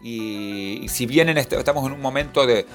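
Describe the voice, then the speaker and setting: A man's voice: mid-range voice, normal speed, fairly bright tone, soft background music. A man, reflecting on his reading and libraries with many restarts. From Argentina. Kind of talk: personal interview testimony.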